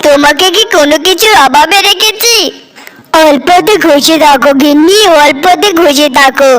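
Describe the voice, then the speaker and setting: A woman's voice: high voice, normal speed, a bit bright. A woman voicing a good-natured, contented farmer husband in a cartoon, with a coaxing, placating manner. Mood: patient, placating. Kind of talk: cartoon voice acting in dramatic dialogue. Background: background music.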